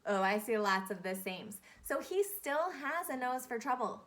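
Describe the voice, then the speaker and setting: Slow-paced woman teacher, higher-pitched voice. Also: very animated, fairly bright tone. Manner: deliberate, instructive, repeating key phrases and questions. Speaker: elementary school teacher. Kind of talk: interactive read-aloud lesson to young children.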